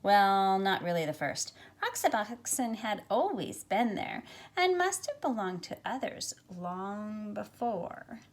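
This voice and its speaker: A middle-aged woman reading a picture book aloud to young listeners in an expressive, measured storytelling way. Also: medium pitch. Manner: expressive, measured storytelling with drawn-out phrases and softened asides. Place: small carpeted room at home, indoors. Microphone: phone at arm's length.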